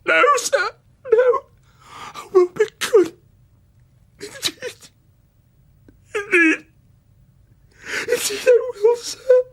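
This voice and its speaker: A man playing a tiny, frightened orphan boy who pleads haltingly through tears in a theatrical storytelling. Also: extremely high pitch, fairly bright tone, voice pushed up, exaggerated voice. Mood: tearful pleading. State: crying.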